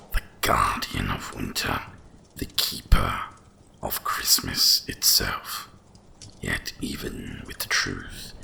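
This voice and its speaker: A man voicing an ancient, wise dragon guardian of winter in a slow, solemn, gravelly whisper for an ASMR roleplay. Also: sharp tone, slight background noise, exaggerated voice. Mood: warm, reverent. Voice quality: deep gravelly breathy whisper. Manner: slow, solemn, deliberate delivery.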